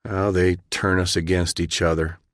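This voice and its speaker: A man voicing a stern, battle-weary former army colonel who is principled and speaks in a commanding, forceful way. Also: low-pitched, moderate pace, dry recording, studio quality. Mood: stern, impassioned.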